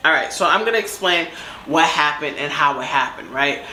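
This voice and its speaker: A young woman with a fairly deep voice telling a casual story in a lively, laughing way. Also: normal speed. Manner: animated, laughing, acts out quoted voices. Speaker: storytime vlogger. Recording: echoey room.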